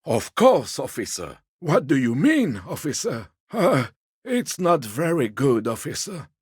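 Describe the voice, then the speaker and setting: Game role: a meek, courteous old man, husky and unhurried, with lilting, highly varied pitch. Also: wildly swooping pitch, dry recording, studio quality, heavily acted. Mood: mild, obliging. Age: older. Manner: deferential, mild, apologetic. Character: elderly, meek, polite, evasive old man. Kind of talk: video game character dialogue.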